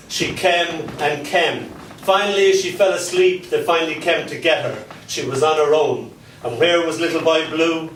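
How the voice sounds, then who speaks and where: A man performing dark comic poetry and stories live, with a theatrical delivery and dramatic pauses. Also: a little high. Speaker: spoken word poet. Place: spoken word venue in a London pub. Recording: echoey live venue, distant mic, background noise.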